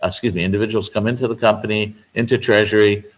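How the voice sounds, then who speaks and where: A low-pitched male guest speaks as a finance expert in an interview. He explains things in a measured, emphatic way, building his points step by step. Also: steady tone. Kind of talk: interview answers, explanatory business talk.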